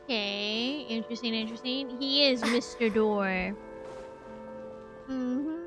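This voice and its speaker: A woman's voice: a little high, slow, over music. A woman streamer giving casual, chatty reaction commentary while she plays a game.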